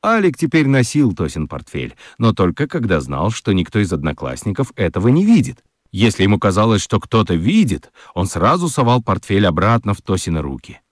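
A man narrating a children's radio story in an expressive, scripted storytelling style. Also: on the low side, fairly quick, dry recording, studio quality.

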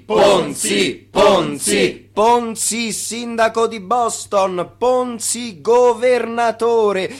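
Radio drama narrator: fervent crier of a tycoon's glory, fairly high pitch, declamatory. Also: male voice. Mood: exultant chanting.

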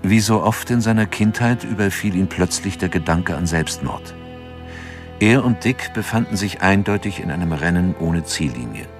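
A man narrating a radio drama in a calm, measured way, with music behind him. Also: sits low, natural voice.

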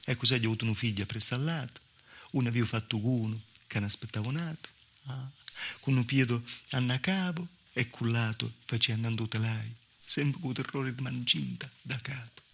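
A man's voice: mid-range voice, moderate pace, a bit bright, a little hiss or hum, natural voice. A male stage actor playing a weary, mistreated southern Italian village wife, telling the story in a colloquial, confiding monologue with a Calabrian accent. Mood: weary, resigned. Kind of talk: theatrical monologue in dialect.

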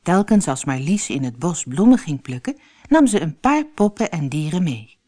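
A woman reading a children's fairy tale as scripted narration, with expressive, clearly phrased storytelling. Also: low voice, moderate pace, no echo, studio quality.

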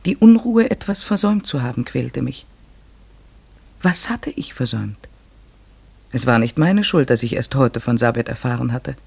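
Thoughtful troubled guy narrating his story in a radio drama, medium pitch, measured. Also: expressive, creaky, a little mellow, breathy edge. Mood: uneasy, pondering. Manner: measured, literary, pensive. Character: troubled, reflective male narrator, a village lodger. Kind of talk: scripted first-person narration in a radio play.

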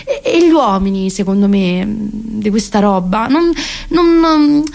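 A woman's voice: mid-range voice, expressive, measured pace, gravelly, a little mellow. An actress around thirty with a Roman Italian accent, playing a neurotic, rambling, self-ironic woman in a comic radio monologue. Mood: disillusioned.

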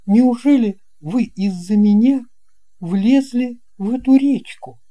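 A man's voice: very high voice, slow pace, dark tone, voice pushed up. A man voicing a young boy in an audio fairy tale, earnest, eager and full of wonder. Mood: amazed disbelief. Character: young boy, wide-eyed, amazed and eager.

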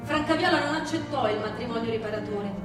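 A woman's voice: fairly high pitch, fast. A woman giving a scripted dramatic reading as a stage narrator. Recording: echoey room, distant mic, music behind. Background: live music.

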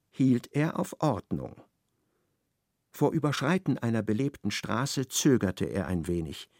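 A man narrating a radio play in a measured, ironic storytelling manner. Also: mid-range voice, dry recording, clean studio sound.

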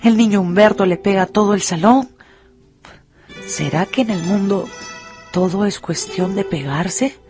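A woman narrator telling a story for a radio drama in a measured, expressive way. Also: medium pitch, music in the background.

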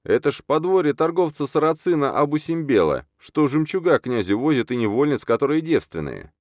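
A male reader puts on a meddlesome underling from a comic tale. He is pedantic and plain-spoken, his voice lifted in pitch, in a dry recording. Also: sing-song, a little fast, dry recording.

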